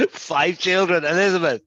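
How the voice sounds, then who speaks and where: A man's voice: sits high, fairly even intonation, takes it slowly, no room sound. A middle-aged man, an accountancy tutor, hosting a casual interview over a video call, full of restarts and fillers. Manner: hesitant and warm, lots of restarts and fillers. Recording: dry, slightly dull audio.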